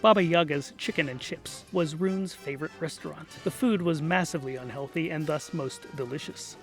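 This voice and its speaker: A man's voice: fairly high pitch, normal speed. A man narrating a scripted comic fantasy story, with music behind him. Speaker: audiobook narrator. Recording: music under the voice.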